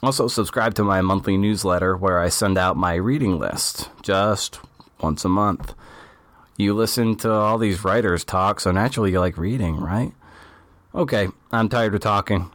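A man's voice: fairly low pitch, moderate pace, a bit bright, dry recording. A man as podcast host reading a breezy, chatty show intro with asides to listeners. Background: faint music.

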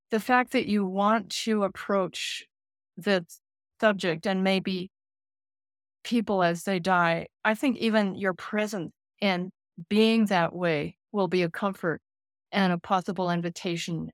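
A woman in her late sixties, a care manager and counselor, speaking gently and thoughtfully in an interview, often pausing to find her words. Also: medium pitch, steady tone, no echo, clean studio sound. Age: 60s. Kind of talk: reflective interview conversation.

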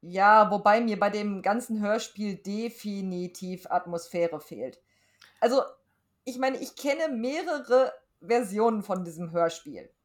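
A woman's voice: medium pitch, lively intonation, measured pace. A woman co-hosting a podcast in loose, casual conversation. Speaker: podcast co-host.

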